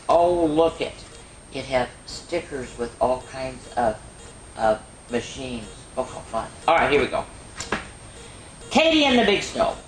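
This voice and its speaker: A woman's voice: low voice, a little hiss or hum. Woman reading to children in an echoey room. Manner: animated, repeats phrases for emphasis, exclaims. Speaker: storyteller, host of children's story time. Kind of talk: reading picture books aloud to children with chatty asides. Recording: roomy, echoing room sound.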